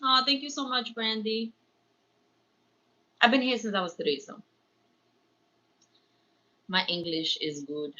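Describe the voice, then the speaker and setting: Bright, chatty New woman. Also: a little high, takes it slowly, long pauses. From born in Ecuador, raised in New York. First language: Spanish. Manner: chatty, rambling, slips into Spanish phrases. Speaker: livestream host, crafts vlogger. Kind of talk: casual livestream chat. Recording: slightly dull, lo-fi stream audio.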